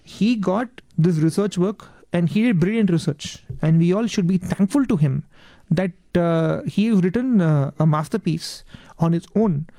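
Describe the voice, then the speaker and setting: A man who speaks Tamil natively, with a Tamil Indian accent and a mellow, creaky voice in a dry recording. He answers as an interview guest, measured and courteous, often restating a point for emphasis. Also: sits high, no room sound.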